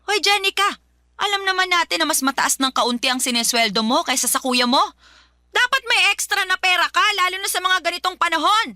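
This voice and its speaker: A woman's voice: extremely high pitch, lively intonation, brisk, sharp tone, no room sound, studio quality, exaggerated voice. A woman acting a harsh, nagging mother in a Filipino radio drama, scolding and belittling with a Filipino accent. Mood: demanding, angry.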